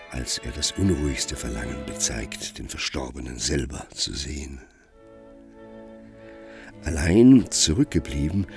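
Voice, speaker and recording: Man, extremely low voice, lively intonation, grave literary narration, radio play, expressive.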